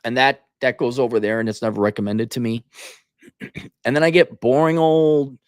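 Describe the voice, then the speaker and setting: A man's voice: mid-range voice, fairly even intonation, normal speed, clear, resonant tone, dry recording, clean studio sound. A man hosting an off-the-cuff opinionated online commentary, speaking in an exasperated, sarcastic, colloquial way. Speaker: online commentary host answering viewer comments. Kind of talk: off-the-cuff opinionated livestream rant.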